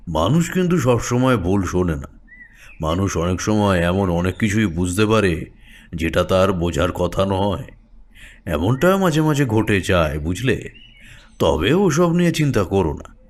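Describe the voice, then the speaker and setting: An older tantric sage from a horror audio story. His voice is very deep. He speaks like a genial uncle, unhurried and reassuring, as one who knows the occult. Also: big pitch swings. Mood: knowing, reassuring. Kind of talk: Bengali audio story acting.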